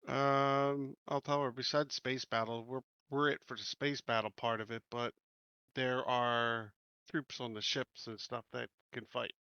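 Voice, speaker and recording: A man playing a tabletop roleplaying game, talking plainly and deliberately as he negotiates in character. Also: medium pitch, level tone, bright, forceful tone, clean tone, no echo, muffled recording. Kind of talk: casual in-character game talk.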